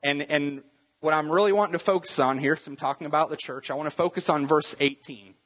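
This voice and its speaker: A man's voice: fairly high pitch, fast, fairly bright tone, big-room sound. A man preaching a sermon in a church in a conversational teaching manner, quizzing the congregation with questions. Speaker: pastor.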